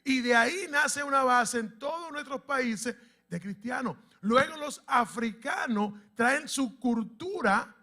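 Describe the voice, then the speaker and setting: A man's voice: very high-pitched, lively intonation, takes it slowly, bright, forceful tone. A man from the Dominican Republic and Puerto Rico, preaching and teaching a congregation, often putting questions to them. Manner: emphatic, asks the congregation questions. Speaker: pastor.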